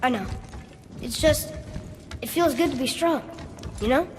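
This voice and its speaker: An eager boy in a fantasy game. His voice is high and youthful, earnest and proud, ringing in an echoey space with faint noise behind it. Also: a little slow. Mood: proud, elated. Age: child. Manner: earnest, youthful, wide-eyed. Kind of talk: video game cutscene acting.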